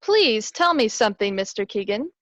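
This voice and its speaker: An actress playing an inquisitive, poised sleuth's companion in an old-time radio drama. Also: female voice, on the high side, very animated, moderate pace, over music. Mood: inquisitive, earnest. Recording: dull lo-fi stream audio.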